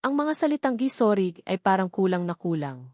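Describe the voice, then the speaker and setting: A woman's voice: medium pitch, big pitch swings, moderate pace, darkish tone, no echo. Filipina-accented woman narrating a dramatic story, dry sound.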